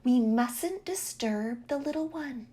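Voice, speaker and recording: Woman doing a fussy, self-important high-voiced goose. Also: expressive, takes it slowly, warm tone, husky, exaggerated voice. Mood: earnest. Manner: insistent, emphatic.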